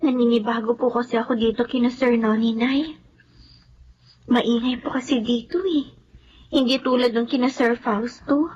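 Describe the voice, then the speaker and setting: A woman's voice: on the high side, fairly even intonation, moderate pace, darkish tone, lo-fi sound, a little hiss or hum, close to an everyday voice. An actress playing a dutiful young woman student, the maid's daughter, in a radio drama. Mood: uneasy. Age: young adult.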